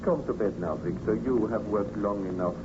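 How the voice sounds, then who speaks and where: A man's voice: on the low side, normal speed, heavy background noise, lightly acted. Man as earnest friend on muffled old radio. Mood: reassuring. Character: concerned, loyal male friend of a scientist. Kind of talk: old-time radio drama acting.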